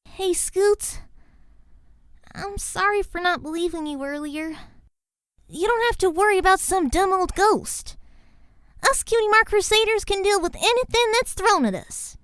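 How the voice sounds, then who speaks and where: Tiny filly pony, really squeaky high n bright, plucky cartoon kid. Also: female voice, unhurried, no room sound, studio quality. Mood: reassuring. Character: small young filly pony, plucky yet jumpy, loyal. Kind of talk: cartoon fan fiction audio drama acting.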